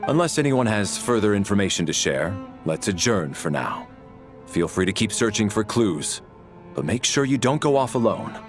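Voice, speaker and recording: An actor voicing a commanding military major who speaks in a formal, authoritative way. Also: male voice, fairly low pitch, very animated, normal speed, faint music behind. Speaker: military officer. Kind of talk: video game voice acting.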